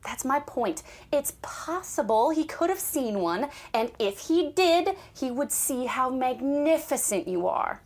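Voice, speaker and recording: A pushy, doting older mom played by a woman in an audio drama. Her high voice swings widely as she nags and insists, dramatic and easily starstruck. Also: big pitch swings, unhurried, hardly any pauses, heavily acted. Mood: nagging, encouraging.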